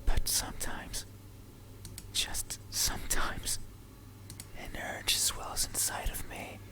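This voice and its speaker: An actor playing an eerie, obsessive, brooding monster of the night in a breathy, deliberate whisper, as a horror ASMR monologue. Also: natural voice. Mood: creepy confiding. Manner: slow, hushed, deliberate, rambling in anxious questions. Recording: music loud behind the whisper. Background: loud music.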